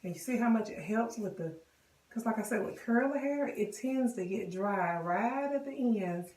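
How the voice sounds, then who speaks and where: A woman with a cold and a hoarse voice, chatting casually to viewers as she walks them through a wig styling tutorial. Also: medium pitch, lively intonation, moderate pace, recorded from a distance, noisy background. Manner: chatty, addresses viewers directly. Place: bathroom. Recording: echoey bathroom, voice off-mic.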